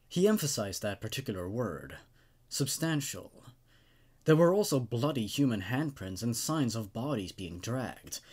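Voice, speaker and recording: A man hosting and narrating horror stories in an expressive, dramatic way, with casual asides. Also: mid-range voice. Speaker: host reading horror stories.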